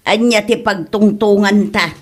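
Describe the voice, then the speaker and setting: A woman playing a fussy, grumbling elderly parent with a husky voice in a comedy drama. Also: medium pitch, steady tone, measured pace, lightly acted.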